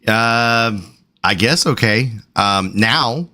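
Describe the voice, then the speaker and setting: A man's voice: mid-range voice, animated, drawn-out words, pushed and bright. A man co-hosting a livestream in casual chat. He is losing his voice and talks in a rambling, profane, self-deprecating way. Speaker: livestream co-host.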